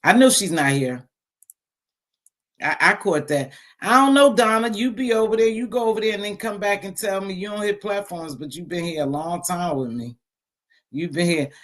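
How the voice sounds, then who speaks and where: Deep-voiced woman on a live stream, blunt and sassy, answering chat. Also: normal speed. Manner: blunt, sassy, talks straight to viewers.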